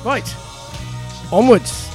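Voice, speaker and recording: A man's voice: drawn-out words, darkish tone, soft background music. A British man co-hosting a casual chat show with dry, mock-serious, emphatic banter. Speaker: co-host of a chat show about beer and snacks.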